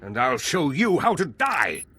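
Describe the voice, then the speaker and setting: A man's voice: fairly high pitch, huge pitch swings, a little slow, pushed and bright, husky, dry recording, full character voice. An actor playing a scornful, self-righteous villainous nobleman in a video game, theatrical and sardonic. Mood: smug, mocking. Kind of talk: dramatic video game acting. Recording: music behind the voice.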